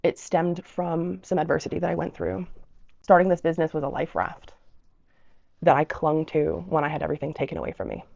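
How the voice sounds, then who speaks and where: Low, gravelly woman with a stuffy nose. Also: brisk, darkish tone, dry recording, lo-fi sound. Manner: emotional, rambling with fillers and restarts. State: congested, nasal from crying and a cold. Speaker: dog trainer and business owner. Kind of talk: emotional personal vlog storytelling.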